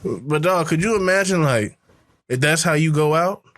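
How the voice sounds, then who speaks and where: A man's voice: a little high, expressive, moderate pace, a bit bright. A young man hosting a podcast, chatting casually and laughing as he tells stories and mimics people. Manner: laughing storyteller doing voices of people he quotes. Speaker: podcast host. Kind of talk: casual chat.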